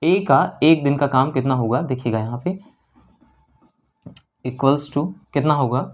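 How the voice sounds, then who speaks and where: A male maths teacher with a North Indian accent and a mid-pitched voice explains problems as he works through them. He is instructive, repeats key phrases and keeps checking that listeners follow. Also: unhurried. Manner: instructive, repetitive, with frequent check-in questions. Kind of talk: lesson explained while solving problems.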